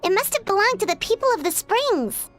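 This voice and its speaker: A woman voicing a tiny floating fairy-like companion, curious and excitable, who asks eager questions and calls herself by name, in a video game cutscene. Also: very high-pitched, fairly quick. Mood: curious, excited. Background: game music.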